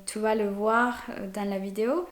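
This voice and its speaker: A woman's voice: medium pitch, fairly even intonation, normal speed. A young Mexican woman, a native Spanish speaker with a Mexican accent, chatting informally to viewers as a vlog host. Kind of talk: casual talk to viewers.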